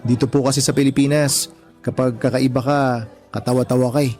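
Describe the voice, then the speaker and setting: A man's voice: mid-range voice, level tone, a little fast, a little mellow, faint music behind, natural voice. A man playing a shy, humble and deferential young man in a radio drama. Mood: resigned, candid. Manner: polite and deferential. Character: humble, shy, self-effacing young layout artist born one-handed.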